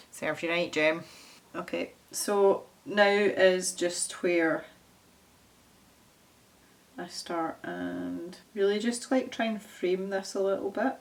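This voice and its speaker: A woman's voice: lowish voice, slow, creaky, pauses often. A young Scottish woman chatting casually as she paints, thinking aloud and poking fun at herself. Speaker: art channel host. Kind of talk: casual chat while painting.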